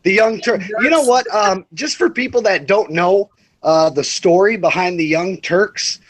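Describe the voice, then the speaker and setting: Chatty dad hosting a stream from his phone, higher voice, stumbles over first words. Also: a little slow. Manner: chatty, laughs often, repeats words when starting a thought. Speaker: livestream host and dad. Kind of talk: casual group video chat.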